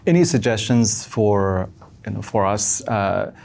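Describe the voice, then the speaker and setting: A man with a fairly low, creaky voice moderates an online conversation. He puts his questions haltingly, piecing them together in short phrases as an interviewer. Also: a little mellow, a little hiss or hum. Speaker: interviewer, academic host.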